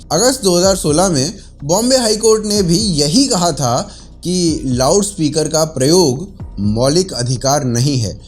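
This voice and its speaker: A man reading scripted news narration. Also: fairly high pitch.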